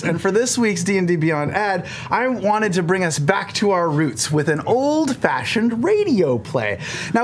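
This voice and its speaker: Giggly, excitable man on a live show. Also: high voice. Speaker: cast member doing sound effects. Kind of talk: casual live-show banter.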